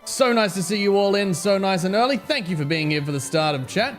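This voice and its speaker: Aussie guy streaming, kinda hoarse, highish voice, chatty w/ chat. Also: fairly quick, faint music behind. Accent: Australian. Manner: chatty, greets viewers by name, fretting over technical trouble. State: slightly hoarse, voice going. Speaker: game streamer. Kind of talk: casual live-stream chat to viewers.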